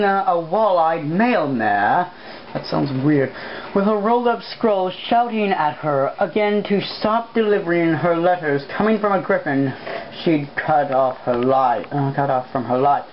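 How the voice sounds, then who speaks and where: A woman reading fan fiction aloud as an amateur, casual and blunt in her delivery. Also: lowish voice. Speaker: reader. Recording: muffled, noisy home recording.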